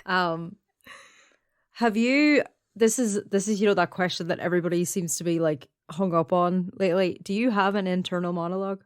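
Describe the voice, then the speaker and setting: A woman's voice: lowish voice, normal speed, smooth, no echo, studio quality. A woman co-hosting a podcast in casual chat, chatty with many fillers and frequent laughter. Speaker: podcast co-host. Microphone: headphones, studio mic.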